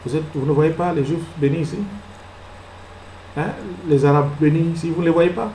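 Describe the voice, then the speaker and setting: A man preaching a sermon as pastor. He fires rhetorical questions, moves between soft asides and loud exclamations, and acts out little scenes. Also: a little high, steady tone, fairly quick, reverberant, slight background noise, rough recording. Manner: acts out little scenes and laughs at his own jokes.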